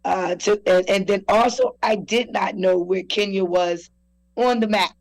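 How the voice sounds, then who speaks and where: A woman, from South Carolina, telling her story as a guest on a talk show, relaxed, with many fillers. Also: medium pitch, a little slow, low-quality recording. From South Carolina, USA. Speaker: guest entrepreneur. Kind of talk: interview storytelling.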